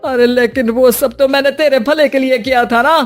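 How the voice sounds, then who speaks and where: A woman's voice: high-pitched, steady tone, normal speed, soft background music, pitched above the performer's own voice, close to an everyday voice. A woman voicing a poor, anxious father in a cartoon story, speaking in a deferential, pleading way. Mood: flustered, pleading.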